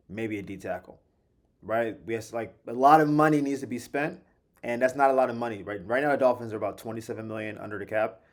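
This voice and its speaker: A man talking through team news and contracts on his daily sports show, casual and slangy. His voice is a little hoarse from a late night. He jumps into quick asides and keeps an animated, chatty flow. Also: medium pitch. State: slightly hoarse. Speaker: sports podcast host. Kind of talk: casual solo sports commentary.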